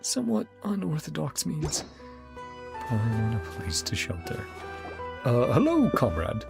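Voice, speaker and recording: Brief: easygoing male game streamer, gravel in the voice, lively pitch, game music underneath. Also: measured pace. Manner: laid-back, chuckling, reads game text aloud. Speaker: gaming streamer. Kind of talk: casual let's-play commentary.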